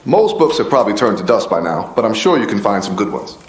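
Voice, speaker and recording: A man's voice: lowish voice, very animated, quick pace, echoey room, a little hiss or hum. An actor playing an affable, bookish man in a video game, easygoing and friendly as he hands out errands. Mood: friendly, hopeful. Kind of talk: scripted video game dialogue.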